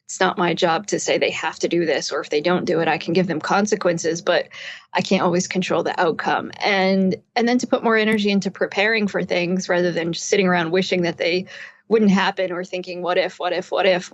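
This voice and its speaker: A woman's voice: on the low side, steady tone, fairly quick, muffled recording. A woman guest expert giving conversational interview advice, explaining things through everyday examples. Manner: explanatory, uses everyday examples and imagined quotes. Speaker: guest expert, psychotherapist and author.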